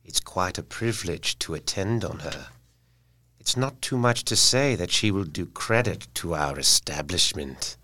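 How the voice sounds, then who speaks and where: An unctuous male undertaker in an audio drama. His voice is low and bright-edged in a dry recording, confiding with obsequious pride. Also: animated, a little slow, no echo, heavily acted. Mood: smug, confidential.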